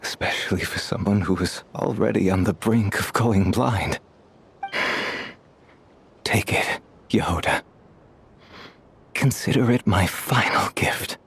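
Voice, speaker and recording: An actor playing a weary, ailing man in a video game, gentle, halting, fond and resigned. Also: on the low side, gravelly, a little hiss or hum, exaggerated voice. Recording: studio voice over game music. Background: background music.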